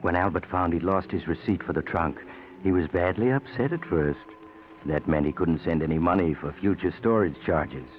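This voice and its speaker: Man playing old-time radio mystery narrator, super deep, wry n confiding, tinny hissy sound. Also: normal speed, close to an everyday voice. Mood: wry, ominous. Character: The Mysterious Traveler, sly male storyteller, darkly amused. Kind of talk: 1940s radio suspense narration.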